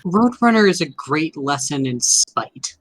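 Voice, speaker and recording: A man, one of the players, making wry deadpan remarks over an online voice call. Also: fairly high pitch, measured pace, no echo. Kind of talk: casual out-of-game chat.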